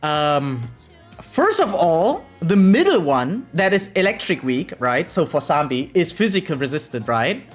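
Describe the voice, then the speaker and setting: A man's voice: on the high side, sing-song, a little slow. Rambling male streamer over music. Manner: rambling, repetitive, slangy and profane; frequently tags sentences with "right?". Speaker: variety game live streamer. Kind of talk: casual chat with live stream viewers.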